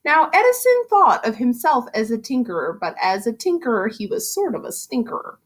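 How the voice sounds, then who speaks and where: High-voiced woman reading a children's storybook. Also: fairly quick. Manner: animated, theatrical emphasis on rhymes. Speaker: school reading specialist reading aloud. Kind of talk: expressive children's storybook read-aloud.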